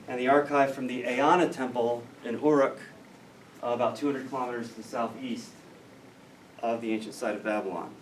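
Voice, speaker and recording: A man with an American accent gives a scholarly lecture in a measured, explanatory way, with a dry edge. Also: mid-range voice. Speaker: academic lecturer in biblical studies. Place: lecture room. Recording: roomy, distant mic with faint noise.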